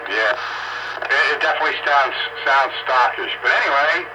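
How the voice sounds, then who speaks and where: A man's voice: medium pitch, normal speed. A man chatting casually and informally as the host of an amateur radio roundup, heard over a radio transmission. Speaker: amateur radio operator hosting an on-air roundup. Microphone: radio transceiver microphone. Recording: noisy ham radio transmission with static.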